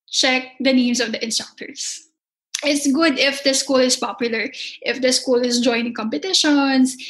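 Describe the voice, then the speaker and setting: Young woman, Filipino accent, high voice, halting careful speech, culinary teacher. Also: rough recording. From Philippines. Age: young adult. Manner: halting, many restarts, speaks with care. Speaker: culinary instructor. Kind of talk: interview answers.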